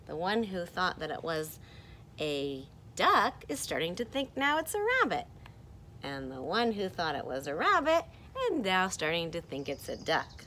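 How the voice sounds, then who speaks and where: A woman with a very lively, swooping delivery talks to small children like a kindergarten teacher. She takes her time and often puts questions to them, with noticeable noise in the recording. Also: fairly low pitch. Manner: expressive, asks questions and pauses for answers. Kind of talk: read-aloud lesson to young children.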